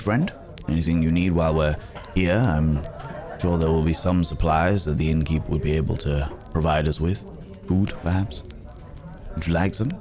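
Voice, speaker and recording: Very deep-voiced, courteous fantasy traveller, acted by a man. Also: natural voice. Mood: companionable, wry. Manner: genteel, formal wording, musing with hesitant hums. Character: courteous, world-weary werewolf traveller, a well-spoken man in fantasy. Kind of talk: ASMR roleplay acting, spoken to the listener.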